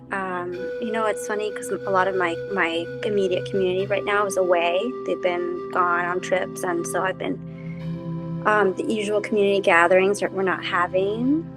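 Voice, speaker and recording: A woman's voice: medium pitch, fairly even intonation, normal speed, loud music behind the voice. A woman from Costa Rica, a helping professional, telling a reflective story in a casual panel chat. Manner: meandering, storytelling, gentle.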